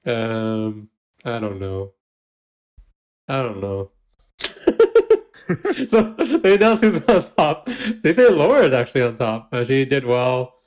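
A man's voice: mid-range voice, sing-song, slow pace, pauses often, darkish tone. A man co-hosting a podcast, recapping a baking show in casual chat, giggling often and using lots of fillers. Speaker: podcast co-host.

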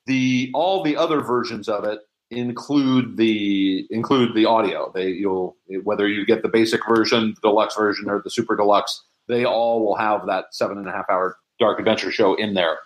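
Low-voiced man explaining in casual interview. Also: fairly even intonation, moderate pace, hardly any pauses, low-quality recording. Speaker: interview guest, prop and audio drama maker.

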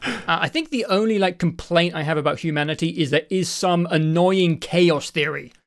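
Croaky-voiced man in casual chat. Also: a little high, normal speed. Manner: rambling, with restarts and swearing. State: croaky, throat won't clear. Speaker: podcast co-host.

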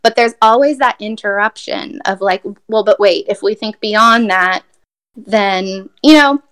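A woman's voice: mid-range voice, measured pace, a bit bright, clear, resonant tone. A woman poet from Brazil giving a reflective, thoughtful interview answer, with many fillers and restarts.

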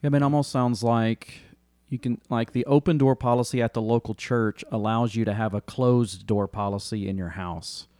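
A man's voice: medium pitch, fairly even intonation, moderate pace, a little mellow, no echo. A man co-hosting an interview, reflecting back on the guest's points in a conversational, affirming way.

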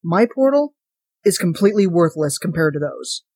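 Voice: medium pitch, big pitch swings, normal speed, a little mellow, husky, dry recording, studio quality. A podcast host reading a short story as a wry, put-upon ordinary narrator, in a sardonic, conversational monologue. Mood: wry, grumbling. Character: wry, chatty, put-upon ordinary fridge-portal owner.

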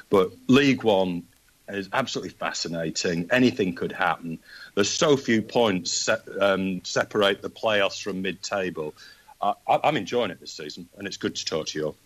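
An older man with a Manchester accent and a very low, deep voice tells long, wandering football stories. The sound is dry and close, with no room around it. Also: no echo. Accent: Mancunian. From Manchester, England. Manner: rambling anecdotal storyteller. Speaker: guest football fan. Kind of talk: casual podcast chat.

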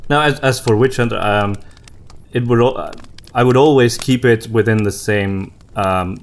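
A man hosting a casual podcast conversation, thinking aloud with pauses as he talks. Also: medium pitch. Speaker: podcast host.

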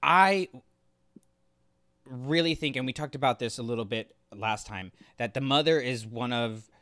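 A man's voice: mid-range voice, measured pace, a bit bright, no room sound, clean studio sound. A man co-hosting a podcast, giving his opinions on a story in casual conversation. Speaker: podcast co-host. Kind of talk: casual opinionated review chat.

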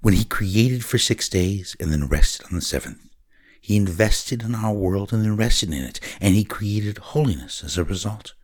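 A gentle older grandmother speaks in a patient, soothing way as she explains things to a scared child, voiced by a man reading in his own deep, low voice. She is warm and steady, with a storybook feel. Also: normal speed, slightly rough.

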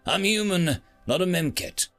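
A wry, unimpressed soldier from a storybook, voiced by a man. He talks casually and defiantly, with lively pitch swings and a creaky edge. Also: unhurried, faint music behind, exaggerated voice. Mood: flippant. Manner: casual, sarcastic. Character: flippant dead human male soldier, unimpressed, defiant.

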